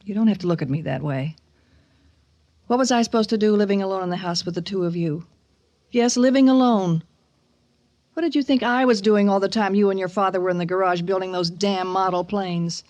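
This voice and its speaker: A woman's voice: mid-range voice, fairly quick, darkish tone, no echo, muffled recording, lightly acted. A woman acting an anxious, guilt-ridden middle-aged widowed mother in a radio drama, pleading hesitantly and emotionally. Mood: remorseful, defensive.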